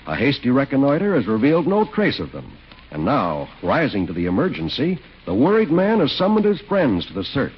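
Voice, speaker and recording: A man announcing in an emphatic, folksy 1940s radio sales style with a husky voice. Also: medium pitch, huge pitch swings, a little fast, a little hiss or hum. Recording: muffled 1940s radio recording.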